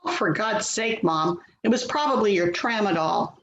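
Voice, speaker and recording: A woman's voice: mid-range voice, expressive, moderate pace, fairly bright tone, muffled recording, lightly acted. A woman in a play reading, playing an impatient, defensive grown daughter who sounds exasperated and dismissive. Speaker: actor in a readers theater play. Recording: roomy echo, slight background noise.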